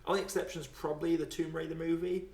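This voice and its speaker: A man's voice: on the high side, level tone, fast, vocal fry, darkish tone. A British man chatting casually and informally as the host of a film podcast. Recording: roomy echo.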